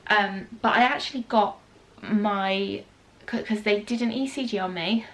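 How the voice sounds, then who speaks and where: A woman's voice: mid-range voice, unhurried, fairly bright tone. A British woman in her thirties with a cold, chatting casually to camera and telling a story with lots of ums and asides. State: congested with a cold. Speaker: vlogger.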